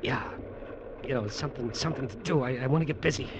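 An actor playing a desperate, battered young nephew fighting drink in an old radio drama, with a halting, strained, emotional delivery. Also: male voice, medium pitch, natural voice. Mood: sullen, resolute. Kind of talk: 1940s-50s radio drama acting. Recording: noisy vintage radio recording.

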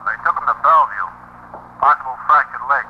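An actor playing a dutiful police sergeant reporting factually over a telephone in a 1950s radio drama. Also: male voice, mid-range voice, a little hiss or hum. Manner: brisk, factual. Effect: telephone filter. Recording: muffled telephone-effect 1950s radio recording.

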